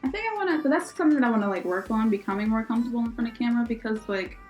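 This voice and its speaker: A woman's voice: fairly high pitch, animated, racing, darkish tone, faint music behind. A young American woman chatting casually and informally as a podcast co-host, with exclamations and a giggly way of talking. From born in Israel, raised in Philadelphia, US. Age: young adult. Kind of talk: casual unscripted chat.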